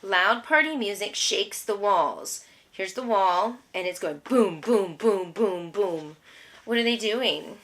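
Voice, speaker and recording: A woman's voice: mid-range voice, lively intonation, slow pace, fairly bright tone. A woman, a homeschooling mother, reading a picture book aloud to her young child with patient, encouraging, simple questions.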